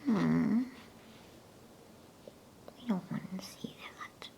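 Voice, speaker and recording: A woman reading a storybook aloud in a hushed, soothing ASMR whisper, taking her time as a bedtime reader. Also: mid-range voice, very slow, creaky.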